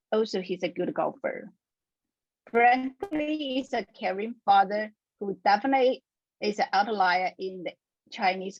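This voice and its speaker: A woman's voice: mid-range voice, vocal fry, darkish tone, dry recording. Woman, panel moderator, careful with small restarts, muffled dry sound, video call. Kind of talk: introducing panelists on a webinar.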